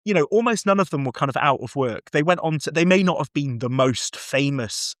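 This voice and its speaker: A man's voice: a little high, lively intonation, a little fast, fairly bright tone, no echo, clean studio sound. A man co-hosting a podcast, discussing audio drama in a casual, discursive and enthusiastic way.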